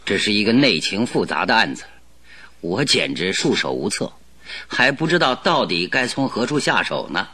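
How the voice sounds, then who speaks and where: A cool, polite detective. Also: male voice, on the low side, very animated, moderate pace, bright, forceful tone, breathy edge, no room sound, heavily acted. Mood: guarded. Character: calm, polite male detective. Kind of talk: radio drama acting.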